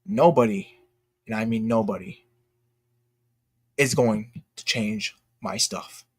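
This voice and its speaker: A man giving casual, opinionated livestream commentary in a blunt, emphatic way, haltingly repeating his phrases for emphasis. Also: lowish voice, slightly rough, no echo, studio quality. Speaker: streamer and commentator.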